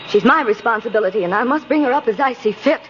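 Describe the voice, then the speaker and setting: An actress playing a brisk, no-nonsense mother with a firm, formal manner in a 1950s radio drama. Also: fairly high pitch, expressive, natural voice. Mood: firm, earnest. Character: brisk, no-nonsense working mother who scorns fairy tales. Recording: muffled noisy old radio recording.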